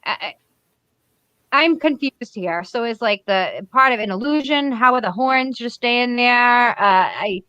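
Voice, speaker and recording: A woman's voice: a little high, animated, a little slow, bright, forceful tone, smooth, no room sound, lo-fi sound. A woman with a Boston accent, co-hosting a casual chat and critiquing art bluntly, laughing often and restarting her sentences. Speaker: podcast co-host.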